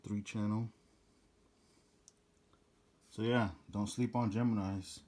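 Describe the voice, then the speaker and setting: Low-voiced man casually presenting an unboxing. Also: little pitch movement, takes it slowly, darkish tone. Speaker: DJ rental business presenter.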